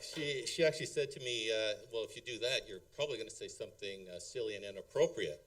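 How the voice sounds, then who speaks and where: A man's voice: fairly low pitch, fast talker, fairly bright tone, distant mic. A middle-aged man giving a rambling, hesitant wedding speech as father of the bride, full of ums and chuckles. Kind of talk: halting, unscripted wedding toast. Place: wedding reception hall.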